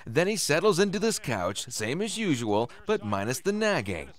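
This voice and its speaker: Mocking, menacing gunman, lively pitch. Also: moderate pace. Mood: sly, casual. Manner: mocking, taunting. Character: menacing, sardonic gunman toying with his hostage. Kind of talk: radio drama acting.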